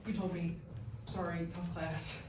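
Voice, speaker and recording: A woman's voice: on the low side, level tone, unhurried, close to an everyday voice. Woman playing a hesitant college guy, echoey stage. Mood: wry, tired. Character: weary, evasive male college student, grieving grandson. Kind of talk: musical staged reading dialogue. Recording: very echoey hall, distant mic, noisy.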